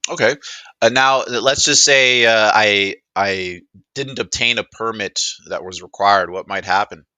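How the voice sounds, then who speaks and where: Bright-voiced man presenting, fairly low pitch. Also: a little slow, no room sound. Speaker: presenter demonstrating a voice assistant. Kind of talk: casual spoken product demo.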